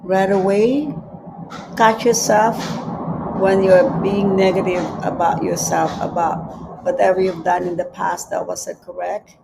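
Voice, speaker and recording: A woman with a Filipino accent giving a gentle, repetitive spiritual teaching on a livestream, reading out viewer comments. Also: lowish voice, little pitch movement, a little slow, vocal fry, very noisy. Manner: gentle, repeats phrases, reads viewer comments aloud. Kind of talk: live-streamed spiritual teaching. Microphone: livestream setup.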